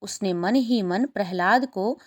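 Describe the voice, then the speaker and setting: A woman's voice: medium pitch, slow. A woman narrating a scripted children's story as the storyteller.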